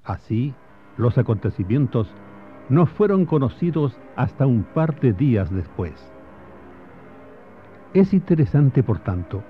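A man playing a solemn storyteller, narrating patriotic history and memories in a measured, literary radio drama style. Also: medium pitch. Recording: muffled radio broadcast, faint music behind.

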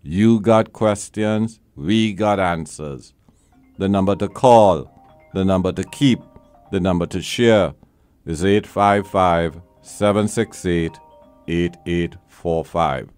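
A man's voice: sits low, slow, darkish tone, clear, resonant tone, faint music behind. A man hosting a call-in legal advice show as a lawyer, talking bluntly and with emphasis, repeating his key points.

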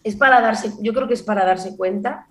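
A woman's voice: medium pitch, moderate pace. A woman with a Barcelona Spanish accent, born in Granada, chatting as a comedy writer and performer guest, telling stories with laughter and restarts. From born in Granada, raised in Barcelona. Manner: lively storyteller, laughs often, many restarts. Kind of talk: casual interview conversation.

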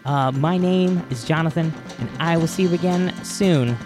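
A man hosting a podcast, talking casually to his listeners in a halting, conversational way with repeated words and self-interruptions. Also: fairly high pitch, over music. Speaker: podcast host.

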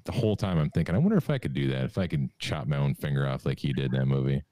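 A man's voice: a bass voice, animated, racing, mellow, dry recording. A man in his twenties co-hosting a podcast, teasing with dry humor in casual chat. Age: 20s. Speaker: podcast co-host.

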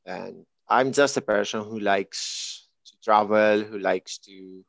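A man in his twenties from Greece, a guest talking through his life story in a relaxed, reflective way, with pauses and fillers. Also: lowish voice, vocal fry, no room sound. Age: 20s. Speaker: interview guest. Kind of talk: casual conversation.

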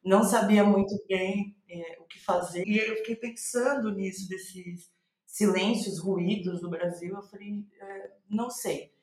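A Brazilian woman, a playwright in a reflective podcast interview, thinking aloud in a halting, thoughtful way. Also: mid-range voice, fairly even intonation, darkish tone. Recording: roomy, echoing sound.

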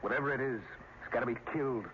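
An actor playing a grim, stubborn small-town sheriff in an old-time radio drama, with a folksy, plainspoken manner. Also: male voice, medium pitch, rapid-fire, natural voice. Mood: grim, determined. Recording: noisy, muffled old radio recording.

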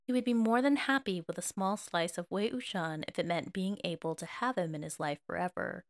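A woman's voice: on the low side, brisk, dry recording, studio quality. A woman reading scripted fiction aloud as an audiobook narrator.

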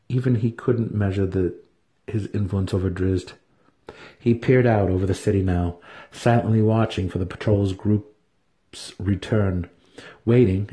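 A man's voice: lowish voice, dark tone. A man giving a dramatic reading of fantasy fiction as an audiobook narrator. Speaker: audiobook reader.

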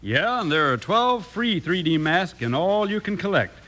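A man's voice: high voice, very animated, normal speed, bright, forceful tone. An American man reading 1950s radio advertising as an upbeat announcer. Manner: upbeat, instructing sales delivery.

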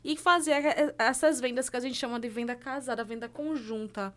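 Woman, co-host of a casual live chat, lively storyteller who laughs easily, a touch high-pitched. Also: brisk. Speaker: co-host, insurance colleague.

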